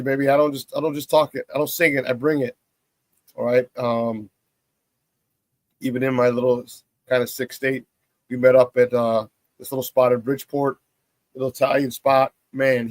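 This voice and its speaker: A man hosting a morning sports talk show, hoarse from a cold, talking in a hyped, slangy, casual way. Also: medium pitch, a little mellow, dry recording. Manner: hyped, slangy, drawn-out hype calls. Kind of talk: casual chat.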